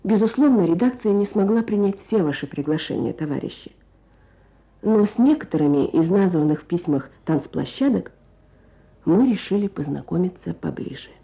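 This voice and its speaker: Lowish-voiced woman presenting Soviet radio. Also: expressive, normal speed, vocal fry, mellow. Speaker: journalist, radio programme author and presenter. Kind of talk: scripted Soviet radio narration. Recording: archival Soviet radio broadcast.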